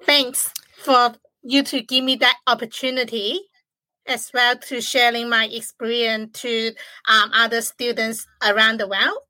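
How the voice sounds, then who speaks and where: A young woman from China talks in English with a clear Chinese accent, her first language being Chinese. Her voice sits somewhat high and sounds bright, recorded dry and close. She speaks haltingly, with small grammar slips. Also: little pitch movement, no echo, low-quality recording. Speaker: guest. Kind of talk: podcast interview.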